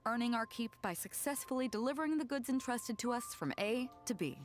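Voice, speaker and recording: A woman's voice: fairly high pitch, expressive, brisk, faint music behind, natural voice. A woman voicing a confident, commanding, teasing captain of an armed merchant fleet in a video game.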